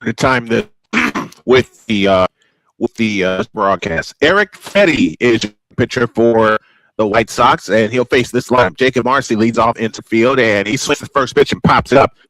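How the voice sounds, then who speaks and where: A man's voice: mid-range voice, moderate pace, fairly bright tone, no echo, low-quality recording. A man doing old-school baseball play-by-play commentary as the host of a broadcast.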